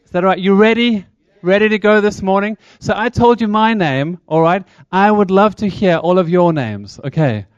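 A South African man in his thirties speaks to a church congregation with a chatty, engaging lilt. His voice sits a little high for a man. The sound is dry and a touch dull. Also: normal speed, few pauses, clean tone, no room sound. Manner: chatty, engaging, asks the crowd questions. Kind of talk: upbeat church talk to congregation.

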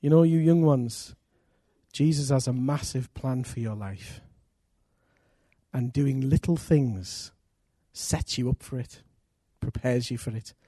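A man's voice: mid-range voice, slow, husky, dry recording. A middle-aged man, a church pastor, introducing a guest preacher with enthusiasm and leading a prayer. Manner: warm enthusiastic introducer, cheering.

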